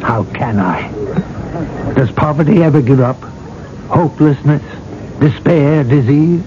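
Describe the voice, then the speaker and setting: Slow, mellow cardinal played by an actor. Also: male voice, on the high side, a little hiss or hum, lightly acted. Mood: earnest, insistent. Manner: measured, solemn. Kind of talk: radio drama acting. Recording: dull vintage radio recording, crowd behind.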